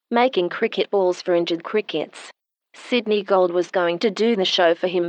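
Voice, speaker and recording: A woman's voice: on the low side, darkish tone, dry recording, close to an everyday voice. A woman playing a smug, matter-of-fact character who talks like an emotionless computer in a comedy radio drama. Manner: stilted, robotic, unemotional delivery.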